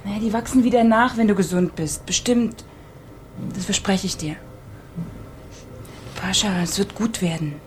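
An actress playing a caring, tired working woman in a radio play, gentle and earnest. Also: mid-range voice, moderate pace, long pauses, slight background noise, lightly acted. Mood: soothing. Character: caring working woman, anxious, tired foster-mother figure. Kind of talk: radio play dialogue and narration.

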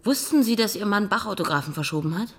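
An actress playing a brisk, sceptical police detective in a radio drama, dry and matter-of-fact. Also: female voice, medium pitch, animated, husky. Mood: firm, probing. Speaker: police investigator.

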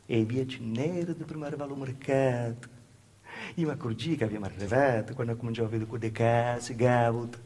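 Guy acting as a calabrian village woman telling her hard childhood, husky voice, plaintive and naive, theatre monologue in dialect, keeps repeating words. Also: mid-range voice, fairly even intonation, a little mellow, close to an everyday voice. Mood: wistful wonder. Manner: intimate, halting storytelling with insistent repetition. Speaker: stage actor.

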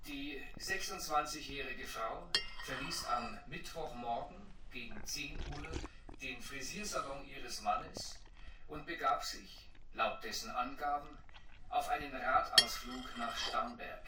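An actor playing a formal 1930s police announcer in a radio play, reading a notice in a precise, impersonal way. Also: male voice, mid-range voice, slow, natural voice. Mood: official, detached. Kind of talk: official bulletin reading. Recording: noisy, echoey, distant bulletin sound.

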